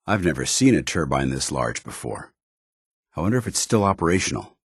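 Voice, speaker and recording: Game companion role: dutiful armored military officer, stern and loyal, low clean voice, formal clipped delivery, dry recording. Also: male voice, very animated, a little fast, slightly rough, no echo, full character voice. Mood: wary, observant. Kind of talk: video game companion dialogue.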